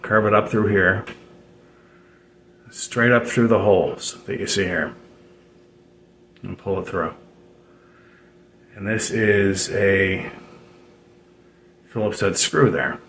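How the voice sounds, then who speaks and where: Low-voiced man in an echoey room. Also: fairly even intonation, a bit bright. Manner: halting, rambling explanation with repeated phrases. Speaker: DIY radio hobbyist presenter. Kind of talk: casual unscripted how-to demonstration. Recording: roomy echo, noticeable background noise.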